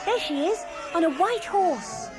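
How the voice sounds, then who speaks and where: A woman's voice: very high voice, animated, unhurried, over loud music. A female performer playing a sceptical, anxious young girl in a children's audio drama. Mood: brisk, grudging. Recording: noisy old cassette tape.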